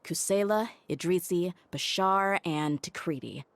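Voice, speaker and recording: A woman playing a tough, loyal female mercenary in a video game, speaking in a frank, measured and resolute way. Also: on the low side, no room sound. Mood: thoughtful, investigating. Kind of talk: video game cutscene dialogue. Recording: game music behind the voice.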